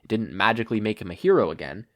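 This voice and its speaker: A man reading stories aloud as an expressive podfic narrator. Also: fairly low pitch, very fast. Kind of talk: expressive fan fiction narration.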